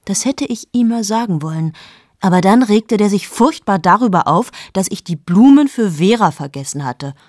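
A woman's voice: medium pitch, animated, moderate pace, a little mellow, no room sound, studio quality, close to an everyday voice. An actress in her late thirties playing a cold, amoral journalist in a radio play monologue, speaking intimately to the listener and often dropping into whispers. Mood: anxious. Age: late 30s. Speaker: radio drama lead.

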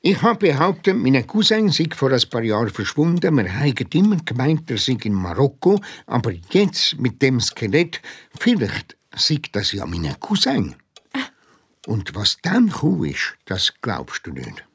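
An eager detective sidekick reports in a children's radio play. The husky voice swings up and down as he draws out the suspense. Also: medium pitch, wildly swooping pitch, measured pace, full character voice. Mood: excited, secretive. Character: Leo, Lisa's detective partner, eager storytelling sidekick.

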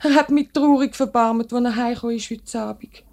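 An actress with a Swiss German accent playing a worried worker's wife in a 1950s radio drama, polite, modest and earnest. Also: female voice, fairly high pitch, fairly quick, a little mellow, lightly acted. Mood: worried, pleading.

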